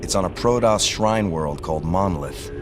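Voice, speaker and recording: An actor playing a dutiful, businesslike starship officer, giving formal briefings in a video game cinematic. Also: male voice, deep voice, animated, moderate pace, over music.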